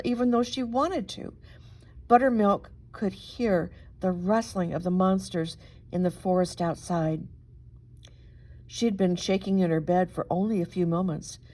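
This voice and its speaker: A woman narrates a children's story in a medium-pitched voice. She takes her time over the phrases. Her delivery is expressive and deliberate, leaning dramatically on the descriptive words. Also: low-quality recording. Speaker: storybook reader, reverend.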